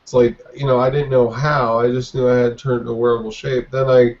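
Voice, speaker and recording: A man in his forties from the United States talking casually as an interview guest, in a halting, reflective way. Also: mid-range voice, level tone, lo-fi sound. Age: 40s. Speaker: podcast guest, artist.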